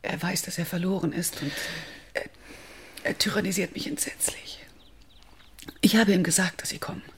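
An actress playing the anxious, imploring wife of a dying man in a radio drama, speaking in a breathy, pleading way. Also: lowish voice, fairly even intonation, normal speed, long pauses, whispered. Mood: hushed, distressed.

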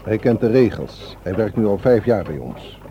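An actor playing a polite, formal and principled helpline volunteer who guards secrets, in a radio drama. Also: male voice, lowish voice, very animated, normal speed, warm tone. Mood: explanatory. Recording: muffled, noisy old radio play recording.

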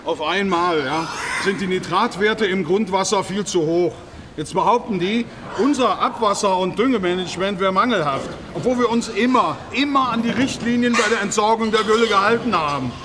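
An actor playing an aggrieved, defensive pig farm owner in a radio drama, halting and repeating himself to justify his case. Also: high voice, lightly acted. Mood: indignant, agitated. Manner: halting, repetitive, self-justifying. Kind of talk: naturalistic radio drama in reportage form. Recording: loud ambient noise of an animal barn.

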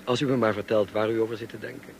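An actor playing a reserved, brooding, worldly gentleman in a radio drama, speaking in a measured, clipped, dry way. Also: on the low side, little pitch movement, close to an everyday voice. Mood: courteous, amused. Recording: clear background noise, car ambience. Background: car ambience.

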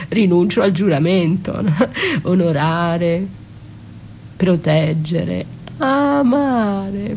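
An actress playing a wounded, betrayed wife in a theatrical monologue, bitter and defiant, with halting, intense delivery. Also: female voice, mid-range voice, darkish tone, slight background noise. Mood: resigned, grave. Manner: dramatic, halting, intense, dropping to breathy whispers. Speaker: stage actress performing a solo.

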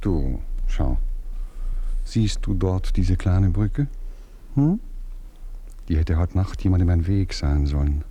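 A man's voice: low-pitched, expressive, takes it slowly, pauses often, dark tone, exaggerated voice. A man playing an impatient, jealous husband who swings between scorn and pleading in a radio play.

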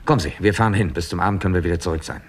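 An actor playing a calm, methodical, old-fashioned police chief in a 1960s German radio crime play, polite and probing. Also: male voice, deep voice, close to an everyday voice. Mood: calm, composed. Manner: measured, polite, probing questioning. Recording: noisy 1960s radio drama recording.

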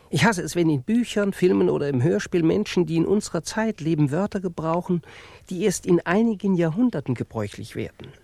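A man's voice: medium pitch, darkish tone, no room sound, lightly acted. Exasperated grandiose wizard played by a man, studio-dry. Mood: irritated. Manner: theatrical, grumbling, solemnly prophetic. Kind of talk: radio play acting.

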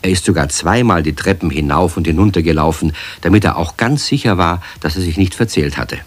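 A man narrating a scripted children's radio play as a calm storyteller. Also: low-pitched, a little fast.

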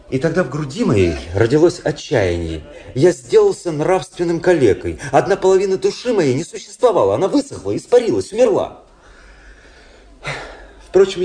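An actor playing a proud, cynical, world-weary young aristocratic officer in a Russian radio drama, speaking in a measured, rhetorical way. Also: male voice, fairly high pitch, a little mellow. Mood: bitter.

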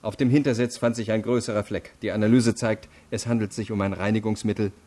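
A male actor as a deputy prosecutor in a radio crime drama. His voice sits low. He lays out evidence deliberately, firm and matter-of-fact, never giving ground. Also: fairly even intonation, warm tone, natural voice. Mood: firm, methodical. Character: methodical, unyielding male deputy prosecutor interrogating.

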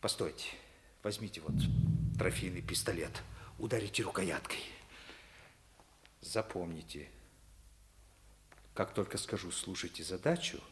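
An actor playing a scheming Soviet senior lieutenant who is secretly a traitor, urgent and conspiratorial, in a 1950s stage drama style. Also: male voice, low voice, unhurried, long pauses. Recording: vintage radio recording, faint background noise.

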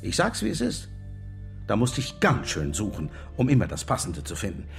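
An actor playing a slick, pushy intelligence agent in a radio drama, breezy and gravelly. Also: male voice, on the low side, wildly swooping pitch, moderate pace, soft background music, heavily acted. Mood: smug, persuasive.

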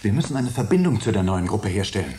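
A man's voice: lowish voice, very animated, fast talker, mellow. An actor playing an earnest, concerned male settler who speaks urgently in a German science fiction radio play. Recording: roomy echo, faint ambient noise.

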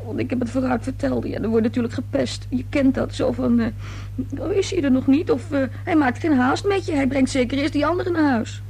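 An actress playing an anxious, guilt-ridden young daughter in a radio play, stammering and pleading defensively. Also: female voice, high-pitched, a little fast, vocal fry, darkish tone, husky, heavily acted. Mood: embarrassed, hesitant. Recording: noisy old radio play with hum.

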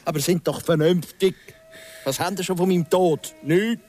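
A man's voice: high-pitched, moderate pace, darkish tone, faint music behind. An actor playing a cornered guilty man in a radio drama, indignant and pleading, with curt protests in Swiss German. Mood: desperate bargaining.

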